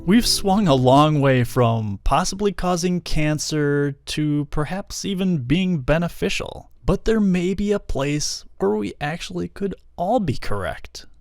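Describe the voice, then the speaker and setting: A middle-aged man narrating a scripted explainer in measured, formal wording with dry, wry asides. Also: mid-range voice. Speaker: video creator and narrator.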